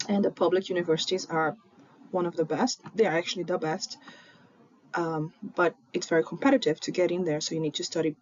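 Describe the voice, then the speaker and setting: Brazilian woman in her 20s, kinda low voice, earnest, lots of ums, dull lo-fi audio w/ a little hiss. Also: a little mellow. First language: Portuguese. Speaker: PhD student in genetics. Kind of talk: personal story presentation. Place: home in Fortaleza, recorded remotely. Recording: dull lo-fi recording with faint noise.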